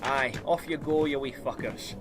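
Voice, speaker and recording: A man's voice: medium pitch, moderate pace, over loud music, lightly acted. A man playing a blunt, sweary but caring dwarf doctor with a Scottish accent in a fantasy audio drama. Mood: stern, kindly.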